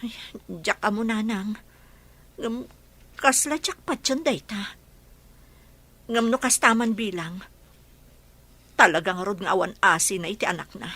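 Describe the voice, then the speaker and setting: A woman's voice: mid-range voice, a little slow, long pauses, pushed and bright. Woman acting as anxious mother, middle-aged, earnest, caring. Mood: worried.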